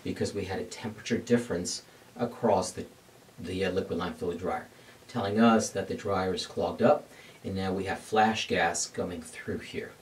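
A middle-aged man teaching an HVAC lesson at a glass board in a dark studio, explaining each step and answering his own questions. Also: sits low, fairly even intonation, normal speed, recorded from a distance, a little hiss or hum. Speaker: HVAC instructor. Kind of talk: whiteboard technical lesson.

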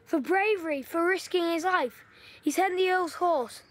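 Eager chatty wartime boy, high voice, woman in the role; audio play. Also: normal speed. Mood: eager storytelling. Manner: eager, storytelling, pushy.

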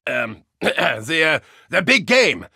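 A boisterous German soccer hooligan from a video game, with a bright, forceful edge and plenty of gravel. His German-accented delivery is bombastic and comic, a brawler with a soft side. The sound is close and dry. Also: fairly high pitch, very animated, slow pace, no echo, heavily acted. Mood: blustering, chatty. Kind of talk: animated video game character lines.

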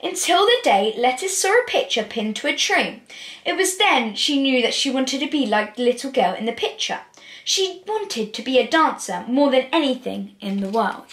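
Girl of about twelve, high voice, expressive children's read-aloud, careful delivery, echoey room. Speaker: presenter reading children's picture books aloud. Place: small room at home, indoors.

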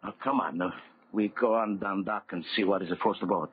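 An actor playing a greedy, furtive dockside villain in clipped, hesitant broken English, in 1930s radio horror style. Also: male voice, low-pitched, brisk, lightly acted. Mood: urging, sly. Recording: tinny, very noisy 1930s radio recording.

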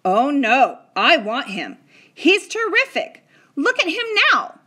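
A woman voicing an excitable young boy who yells and argues in a high voice. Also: takes it slowly, full character voice. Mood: defiant. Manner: shrill and yelling.

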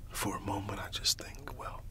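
Male, low pitch, for whispered ASMR roleplay: easygoing, teasing flatmate, hushed slangy delivery that lingers and repeats. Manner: hushed, casual and slangy, with drawn-out repetitions. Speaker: ASMR performer playing a roommate. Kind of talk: ASMR roleplay, whispered storytelling and one-sided chat.

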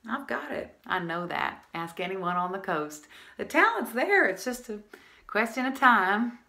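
A woman's voice: mid-range voice, big pitch swings, measured pace, creaky, bright, forceful tone, exaggerated voice. A woman acting a scatterbrained, self-deluded nightclub singer in a comic stage monologue, rambling with boastful, paranoid insistence. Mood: agitated, self-assured.

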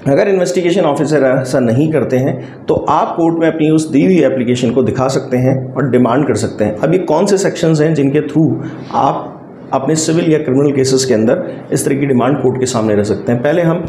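A man's voice: medium pitch, mellow. A man in his forties with an Indian accent, explaining law to camera in a didactic way and often mixing in English terms. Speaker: legal advisor and video host. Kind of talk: explanatory legal talk to camera. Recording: roomy echo, faint background noise.

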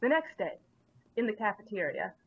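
A woman's voice: mid-range voice, sing-song, normal speed, slightly rough. A woman narrating a live comic dub, reading and bantering through a slightly dull microphone.

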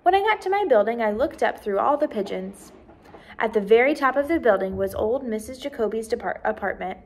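Female teacher, twenties, expressive storybook read-aloud, lightly high-pitched. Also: a little fast. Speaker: teacher reading aloud to first graders. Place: small decorated indoor room.

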